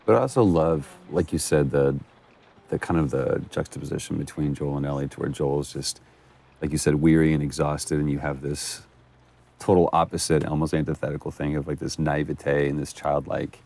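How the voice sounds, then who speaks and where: A man with a husky, gravelly voice giving casual commentary on a game. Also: rumbling low, steady tone, moderate pace, dark tone. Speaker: commentator who worked on the game.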